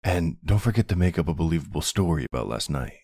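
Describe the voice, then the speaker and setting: A man playing a tender but commanding mafia boss husband in an ASMR roleplay, with a low, gravelly, husky voice. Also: expressive, quick pace, darkish tone, dry recording, clean studio sound, exaggerated voice. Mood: gentle, polite.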